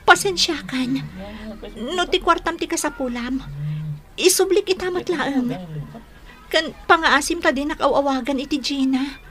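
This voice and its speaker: A woman's voice: sits high, a little slow, pauses often, fairly bright tone. An actress with an Ilocano accent playing a young woman who deceived her lover, speaking in a pleading, apologetic manner in a radio drama.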